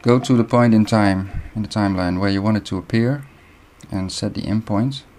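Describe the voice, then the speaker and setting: A young man from the Netherlands with a Dutch accent, walking through a software tutorial step by step with short pauses. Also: fairly low pitch. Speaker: video editing tutor. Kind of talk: screen-recorded software tutorial.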